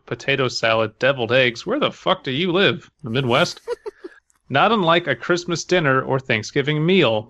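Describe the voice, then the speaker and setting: A man's voice: mid-range voice, pushed and bright. A man co-hosting a podcast, reading aloud casually and dropping in joking, sweary asides. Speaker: podcast co-host.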